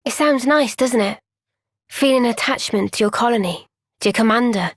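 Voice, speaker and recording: A woman playing an earnest, thoughtful young soldier in a fantasy video game cutscene, speaking in a gentle, measured, reflective way. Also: on the high side, dry recording, clean studio sound, lightly acted. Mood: reflective, sincere.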